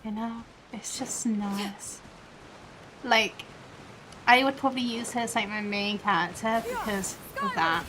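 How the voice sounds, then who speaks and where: A woman's voice: a little high, a little slow, noisy background. A woman streaming a game, chatting casually and thinking aloud in an informal way. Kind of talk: casual live gaming stream commentary.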